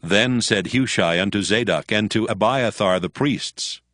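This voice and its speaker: Low-voiced male scripture narrator. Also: a little slow, a bit bright, no room sound, clean studio sound.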